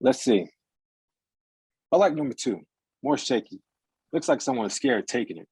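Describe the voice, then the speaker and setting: A man's voice: medium pitch, animated, moderate pace, a little mellow, slightly rough. A man playing a businesslike security guard in a table read, with clipped, matter-of-fact delivery. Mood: appraising. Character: terse, businesslike male security guard gathering information. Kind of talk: virtual screenplay table read.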